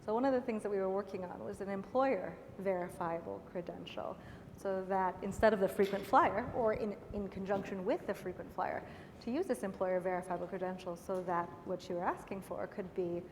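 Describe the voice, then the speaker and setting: A woman moderates a conference panel, briskly handing questions to the panelists. Her mid-pitched voice echoes through a large hall over faint ambience. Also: a little mellow, slight background noise. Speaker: session moderator.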